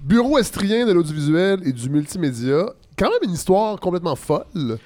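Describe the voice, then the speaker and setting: A man with a Québécois accent hosting a casual podcast interview, quick to joke and chime in. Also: high voice, animated, a little mellow. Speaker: podcast host.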